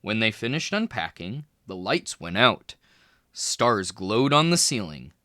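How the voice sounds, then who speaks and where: A man reading a children's fantasy book aloud, expressive and clear, with small voice shifts for the characters. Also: on the low side, a little slow, no room sound, studio quality. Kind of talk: read-aloud storybook narration.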